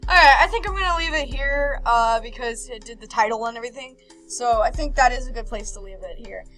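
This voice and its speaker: A woman hosting a game playthrough, reading visual novel text aloud with animated, casual reactions and stumbling over words now and then. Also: fairly high pitch, a little fast. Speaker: host of a video game playthrough. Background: game background music.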